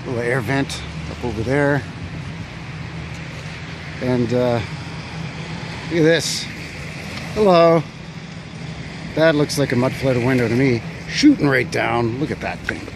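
A man's voice: mid-range voice, slow pace, long pauses. A man walking outdoors with a handheld phone camera on a windy winter street, thinking aloud about an old building with many fillers and unsure asides. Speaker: vlogger exploring old buildings. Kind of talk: casual walking narration. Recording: wind and street noise behind.

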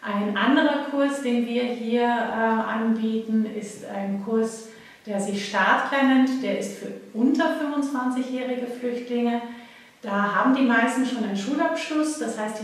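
A woman's voice: on the high side, a little slow. A woman, a social worker, explaining courses matter-of-factly with frequent fillers. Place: office. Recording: very echoey office, mic at a distance.

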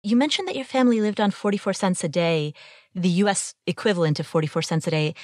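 A woman's voice: lowish voice, fast, no room sound, studio quality. A woman from Ohio hosting a podcast, reading an intro and asking interview questions. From Ohio, USA. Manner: lively, expressive questioning. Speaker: podcast host.

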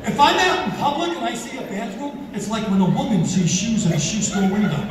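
A man in his sixties doing a stand-up comedy routine on a theater stage, with a deadpan, self-mocking delivery. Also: high voice, fairly quick, noisy background. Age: 60s. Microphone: handheld mic, filmed from far in the audience. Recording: echoey hall with crowd, from far off. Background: audience laughter and applause.

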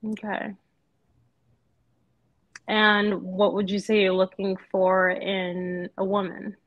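A woman in her thirties from Nigeria, questioning a date on a video call with a brisk manner. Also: mid-range voice, flat delivery, dull audio. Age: 30s. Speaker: dating show contestant. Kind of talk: casual video call chat.

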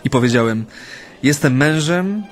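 Genial, reflective Japanese husband. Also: male voice, fairly high pitch, animated, unhurried. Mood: nostalgic, reflective. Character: genial Japanese man reminiscing about married life in Poland. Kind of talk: Polish radio drama acting, conversational. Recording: studio radio play with music.

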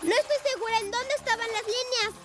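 A woman's voice: extremely high pitch, fairly even intonation, fairly quick. A woman voicing an eager young female scooter who likes to lead, in a children's cartoon dub. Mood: bossy, confident. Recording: music behind the voice.

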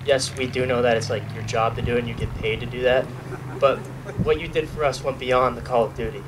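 A man's voice: medium pitch, fairly even intonation, brisk. Young man giving a graduation speech. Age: young adult. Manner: earnest, lightly joking, reads from notes. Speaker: student speaker. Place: outdoor graduation ceremony at a podium. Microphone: podium mic filmed from a far camera. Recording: distant outdoor recording with noise.

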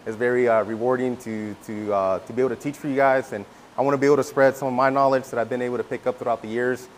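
Relaxed, repeating male painter from California. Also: mid-range voice, quick pace, slight background noise. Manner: relaxed, many repeated words and fillers. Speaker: guest instructor, custom painter. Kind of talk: casual workshop teaching. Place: indoor event room before a backdrop. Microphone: lapel mic.

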